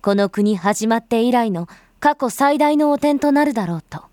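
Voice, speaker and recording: A woman's voice: fairly high pitch, animated, normal speed, a little mellow, no echo, studio quality, natural voice. A woman performing as a grave storyteller, giving scripted dramatic narration in solemn, literary phrasing. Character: grave storyteller recounting a bloody uprising.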